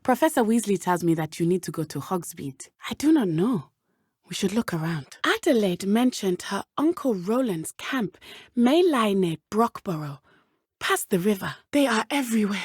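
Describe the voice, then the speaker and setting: A woman voicing a brave, earnest teenage witch schoolgirl in a video game, speaking in a formal, measured way. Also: mid-range voice, big pitch swings, darkish tone, husky, no echo, studio quality. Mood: serious, determined. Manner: formal, measured, avoids contractions. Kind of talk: scripted game companion dialogue.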